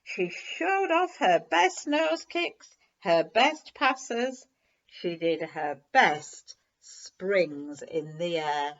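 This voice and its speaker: A woman reads a children's story in a slightly low voice, with plenty of rise and fall. She takes her time over each phrase. The recording is a little dull. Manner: measured, animated storytelling. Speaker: story reader. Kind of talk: expressive children's storybook read-aloud.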